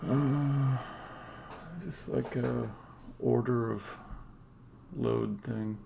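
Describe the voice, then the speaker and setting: Game dev streamer mumbling to themselves while coding, super creaky voice, bit of noise n keyboard clacking. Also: mid-range voice, steady tone, warm tone. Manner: muttering to self, halting. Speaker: game developer, live-stream host. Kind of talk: live-coding stream, thinking aloud. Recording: faint background noise, keyboard typing. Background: computer keyboard typing and clicking.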